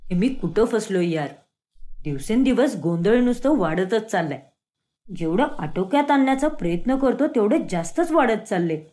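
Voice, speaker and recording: A woman's voice: mid-range voice, lively intonation, moderate pace, warm tone, pitched below the performer's own voice, natural voice. A woman voicing a harried young man caught between two women, pleading and exasperated.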